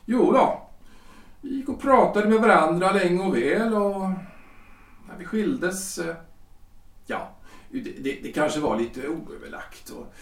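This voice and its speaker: A man acts out a self-important politician husband of forty-three in a period radio play. His voice is high for a man and comes from a little way off in an echoing room. He hems and halts through formal sentences. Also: expressive, distant mic. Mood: hesitant, apologetic. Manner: halting, hemming, formal. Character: hesitant, self-important 43-year-old lawyer-politician husband. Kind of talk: period stage-play audio drama acting. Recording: roomy, echoing, off the microphone.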